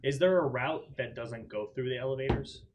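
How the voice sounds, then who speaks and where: A man's voice: medium pitch, a little fast, a little mellow. A man playing a tabletop game, chatting casually and asking questions in a conversational way. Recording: very noisy room recording with clicks.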